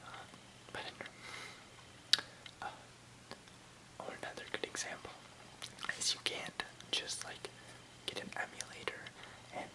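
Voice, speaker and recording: Hushed, fully whispered ASMR reader. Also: low-quality recording. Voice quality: unvoiced, breathy whisper. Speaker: ASMR channel host. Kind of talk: whispered ASMR reading of an encyclopedia article with casual asides.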